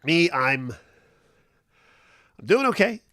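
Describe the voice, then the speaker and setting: A man's voice: on the high side, big pitch swings, slow, sharp tone, no room sound, studio quality. A man hosting a casual morning livestream chat, stuffed up and nasal from a cold, rambling easily with lots of fillers and restarts. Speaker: livestream host.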